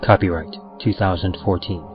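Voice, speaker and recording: Deep-voiced man reading podcast credits. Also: steady tone, moderate pace. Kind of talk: scripted announcer narration. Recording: dull lo-fi sound under music.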